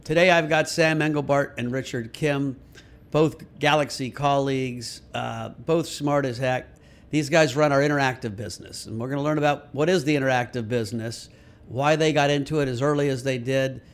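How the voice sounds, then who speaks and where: A man's voice: medium pitch, steady tone, moderate pace. Man, podcast host, casual interview, upbeat, easy laugh.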